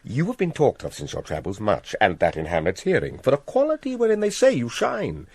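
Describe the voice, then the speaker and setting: Scheming Shakespearean king: British, persuasive, theatrical, widely swooping pitch, dry close sound. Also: huge pitch swings, a little mellow, husky, no echo, heavily acted. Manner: theatrical, measured with dramatic pauses. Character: scheming king, smooth, persuasive, plotting murder. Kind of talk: classical Shakespearean stage-style acting.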